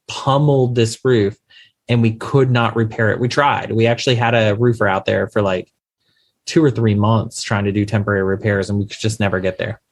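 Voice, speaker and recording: A man, an insurance attorney, explaining coverage to investors in an emphatic way, often with rhetorical questions, on a podcast interview. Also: on the low side. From Louisiana, USA.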